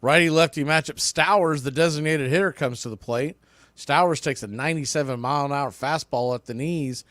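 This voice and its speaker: A man's voice: fairly high pitch, a little fast, fairly bright tone, no room sound. A man doing live baseball play-by-play in clipped short phrases, with casual asides.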